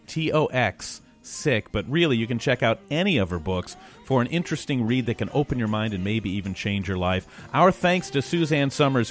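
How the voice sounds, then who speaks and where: A man hosting a talk show, asking halting questions of a guest and then reading presenter links. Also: fairly low pitch. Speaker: radio show host. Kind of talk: interviewing and presenting.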